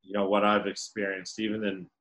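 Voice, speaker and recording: Man, deep booming voice, casual podcast storyteller, rambling. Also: little pitch movement, a little fast, fairly bright tone, low-quality recording. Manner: rambling with many fillers. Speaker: podcast guest, restaurant server.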